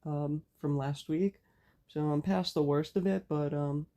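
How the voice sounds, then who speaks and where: Very dark-voiced raspy woman lecturing. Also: deep voice, steady tone. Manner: measured, explanatory delivery. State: hoarse from a cold. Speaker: occult channel host. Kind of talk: spoken lecture.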